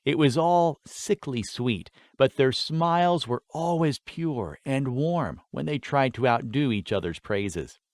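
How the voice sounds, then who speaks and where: Animated male audiobook narrator, dry sound. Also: mid-range voice, slow pace, few pauses, no echo. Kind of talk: expressive scripted fantasy story narration.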